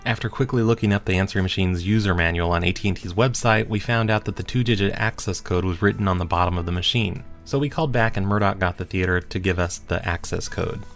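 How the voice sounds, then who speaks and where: A man's voice: low voice, quick pace, hardly any pauses, soft background music. A man hosting a podcast, reading scripted narration. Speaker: podcast host.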